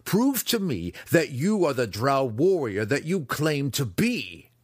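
A man voicing a fierce, derisive dark-elf weapons master, in a husky, raised voice. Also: lowish voice, big pitch swings, a little slow, no echo, clean studio sound, voice pushed up, exaggerated voice. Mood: goading. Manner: growling, derisive. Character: fierce taunting male drow weapons master.

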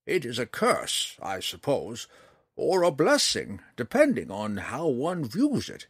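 A man voicing a kindly, philosophical old ranger in an audiobook, speaking slowly in a measured, musing way. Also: mid-range voice, huge pitch swings, slightly rough, no echo, clean studio sound, full character voice. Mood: wise, encouraging. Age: older. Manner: slow, measured, musing delivery. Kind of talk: audiobook character voice.

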